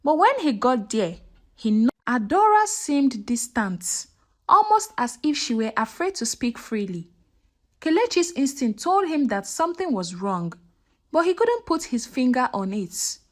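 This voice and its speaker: A woman's voice: sits high, big pitch swings, unhurried. A woman narrating a scripted folktale in a dramatic storytelling style.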